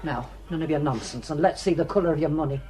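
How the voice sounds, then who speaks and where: A woman's voice: low voice, fast, warm tone, faint music behind, natural voice. Actress as a haughty, grasping old Northern English landlady. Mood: scornful, grasping. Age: older. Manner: haughty, scolding, sarcastic, blunt. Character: grasping, spiteful old female mill owner and landlady. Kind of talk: radio drama acting.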